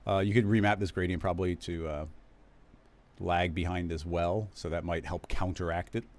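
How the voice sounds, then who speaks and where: A man hosting a live stream, casually talking viewers through a software tutorial, rambling and correcting himself as he goes. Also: low voice, steady tone, moderate pace. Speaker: live stream host teaching 3D animation software.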